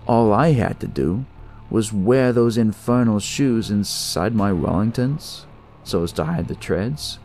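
A man's voice: on the low side, lively intonation, a little slow, a little mellow, a little hiss or hum. A man narrating a first-person noir horror story in a dramatic storytelling style.

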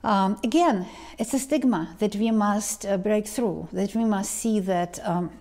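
A woman, talking slowly to camera as a tarot reader, pausing and restarting often. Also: medium pitch, warm tone. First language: Ukrainian or Russian. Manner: slow, halting, many restarts and ums. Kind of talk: casual vlog talk to camera. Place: living room indoors. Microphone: lapel mic.

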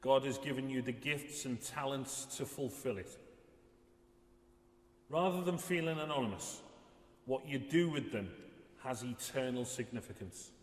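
A man delivers a scripted sermon in a measured, solemn manner. His pitch is average. The voice rings with strong echo and long reverb in a large stone church. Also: expressive. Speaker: assisting minister.

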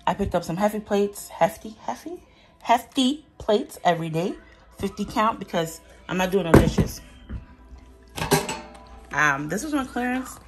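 A woman in her thirties chatting casually as she shows off her groceries at home, candid and unfiltered. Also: on the low side. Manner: chatty, candid, talks as she handles items off camera. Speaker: vlogger showing a grocery haul. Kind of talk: casual chat. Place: home, indoors. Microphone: phone camera held by the speaker.

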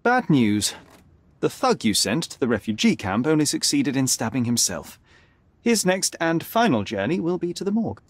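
An actor playing a confident, sharp young detective who speaks formally and articulately, needling and unflappable in a dramatic video game style. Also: male voice, a little high, big pitch swings, normal speed, no echo. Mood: cool, cutting.

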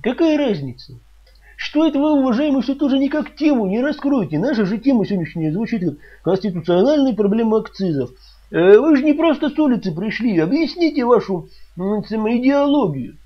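Shrill, fussy, stammering radio host. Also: male voice, expressive, fairly quick, breathy edge, muffled recording, heavily acted. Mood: peevish. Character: fussy, pompous, flustered male radio host. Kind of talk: comedy radio sketch acting.

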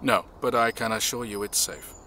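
Cautious, courteous middle-aged informant. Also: male voice, lowish voice, fairly even intonation, normal speed, sharp tone, slight background noise. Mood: apologetic. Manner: polite, hesitant, formal. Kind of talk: audio drama acting.

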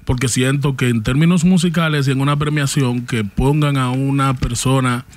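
A man's voice: mid-range voice, steady tone, normal speed. A man, hosting a podcast and steering the conversation from a studio. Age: 40s. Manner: agreeing, steering the talk. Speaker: podcast host. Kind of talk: casual chat.

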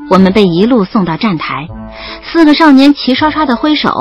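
Wry, animated young woman storyteller over music. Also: on the high side, brisk, muffled recording. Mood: wry narration. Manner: expressive, playfully wry. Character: young woman student narrating her love story, wry, playful. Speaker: narrator and lead in an audio drama. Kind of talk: audio drama narration and dialogue.

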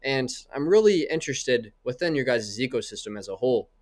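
A young man hosts a podcast into a close mic in a small room. His voice sits in an ordinary middle pitch. He speaks in a friendly, casual way and strings his questions out at length. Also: lively intonation, a little fast. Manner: friendly, asks long rambling questions. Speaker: podcast host. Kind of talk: casual interview.

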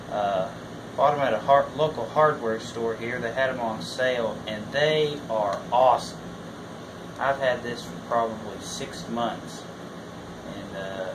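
A plain-spoken man giving a casual, halting walkthrough of his tools as a working plumber. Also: mid-range voice. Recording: clearly noisy home video, steady hum.

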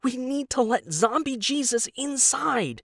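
A man's voice: extremely high pitch, lively intonation, a little slow, creaky, no room sound, clean studio sound, voice pushed up, heavily acted. A man voicing a gritty, blunt wife, terse and exasperated, in an audiobook. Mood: urgent. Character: gritty, blunt, sweary wife under threat. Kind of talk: audiobook character acting.

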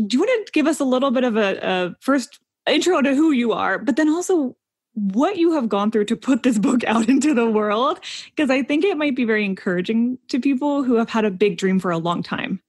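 A woman's voice: fairly high pitch, animated, brisk, darkish tone, dry recording. Woman co-host with a dry sound. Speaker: podcast co-host. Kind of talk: casual podcast interview.

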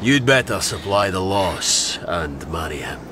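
An actor playing a gallant, wry, commanding outlaw in a radio drama. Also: male voice, low-pitched, very animated, measured pace, background noise. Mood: wry, bold.

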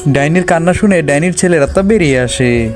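A man's voice: a little high, lively intonation, a little fast. A man narrating a scripted children's cartoon story. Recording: music behind the voice.

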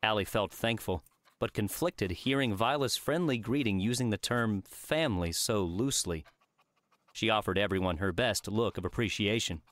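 A man's voice: fairly low pitch, moderate pace, fairly bright tone. A man reading a work of fiction aloud as scripted audiobook narration.

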